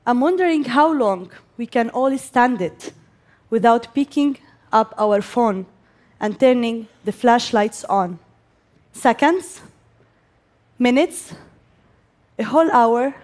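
Slow, deliberate young woman from Gaza. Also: fairly high pitch, expressive, a little mellow. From Gaza, Palestine. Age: young adult. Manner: slow and deliberate, with pauses between phrases. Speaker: speaker giving a talk. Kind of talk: prepared speech to an audience.

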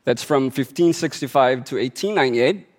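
A man from the Philippines giving a prepared talk to an audience, telling it like a story and slowing down to stress key words. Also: medium pitch, animated. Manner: measured storytelling delivery, slowing for emphasis. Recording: long hall reverb.

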